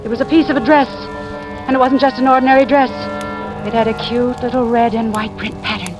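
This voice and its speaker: A woman's voice: a little high, steady tone, highs cut off, close to an everyday voice. An actress playing an anxious, hesitant middle-aged mother turned amateur sleuth in a 1940s radio drama, both narrating and speaking in scenes. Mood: brooding. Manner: halting, hesitant, uneasy. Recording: vintage radio recording with music.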